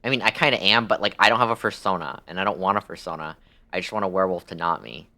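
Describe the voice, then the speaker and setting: A man's voice: low-pitched, fast talker, bright, forceful tone. A man livestreaming a game, chatting casually with viewers in a crude, joking, slangy way and often repeating himself. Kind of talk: casual chat with stream viewers.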